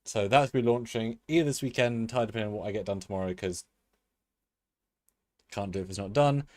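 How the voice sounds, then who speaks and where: A man with a fairly low voice who rambles in a chatty way, restarting his sentences as he goes. He co-hosts a casual live stream, and the sound is dry and close with no echo. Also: quick pace, a little mellow. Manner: rambling, many fillers and restarts. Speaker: co-host. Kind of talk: live-stream review chat.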